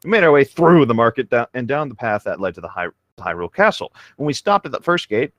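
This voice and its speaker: A man's voice: mid-range voice, big pitch swings, fast talker, fairly bright tone, dry recording. A man co-hosting a comic read-aloud, moving between story narration and casual asides. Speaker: co-host reading stories aloud.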